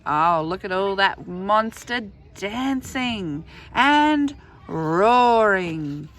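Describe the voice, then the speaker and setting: Bright-voiced woman reading a picture book, unhurried. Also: mid-range voice. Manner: expressive, exclaiming over pictures, drawn-out key phrases. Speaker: storyteller reading a picture book aloud to young children. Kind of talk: animated read-aloud with asides to young listeners.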